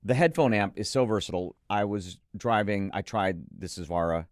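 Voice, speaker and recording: Deep-voiced emphatic man reviewing gear. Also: moderate pace, no room sound, studio quality. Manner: emphatic, gushing about gear. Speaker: co-host of an audio gear preview show. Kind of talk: enthusiastic product review chat.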